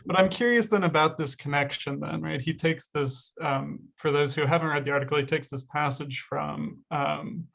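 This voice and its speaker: A man's voice: fairly high pitch, moderate pace, vocal fry, few pauses, a bit bright. A man in the audience asking a lecturer an earnest, hedging question over a video call. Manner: earnest, hedging, frequent fillers. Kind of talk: academic Q&A question.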